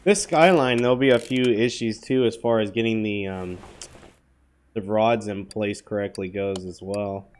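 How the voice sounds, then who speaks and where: Hoarse man chatting over gameplay. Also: lowish voice, fairly even intonation, normal speed, a little hiss or hum. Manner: rambling, offhand, full of fillers. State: hoarse from a cold. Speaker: gaming channel host. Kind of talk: casual gameplay commentary.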